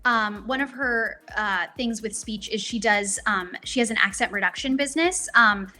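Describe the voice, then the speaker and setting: A woman's voice: fairly high pitch, moderate pace, sharp tone. A young woman voice actor chatting casually as an interview guest, bubbly and full of ums, on a remote call.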